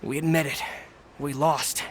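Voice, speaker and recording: An actor playing a resentful, hot-headed street thug in a video game, blunt and defiant. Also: male voice, a little high, level tone, a little slow, slight background noise, close to an everyday voice. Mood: grudging, defensive.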